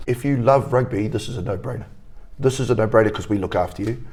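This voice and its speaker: A man with a New Zealand accent speaks in a slightly low voice as a rugby academy coach. He talks earnestly in an interview, often restarting his sentences. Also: quick pace, darkish tone, slightly rough.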